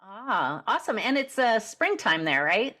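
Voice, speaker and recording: A woman's voice: on the high side, sing-song, a little slow, pushed and bright. A woman hosting a podcast, upbeat and curious, asking her guest questions with frequent fillers. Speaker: podcast host. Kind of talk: podcast interview.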